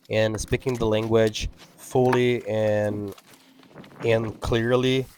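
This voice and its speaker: A man whose first language is Portuguese, speaking English with an accent as he talks over his window cleaning video, halting and full of fillers. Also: medium pitch, a little hiss or hum. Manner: halting, many fillers and restarts. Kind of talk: casual talk-over.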